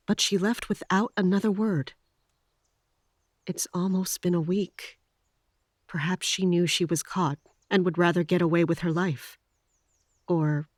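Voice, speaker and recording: A woman playing a guarded, troubled military captain in an audio drama, speaking and narrating in a restrained, formal, deliberate way. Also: on the low side, a little mellow, no echo, studio quality, lightly acted. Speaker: lead actor and first-person narrator. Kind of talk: audio drama acting with inner monologue.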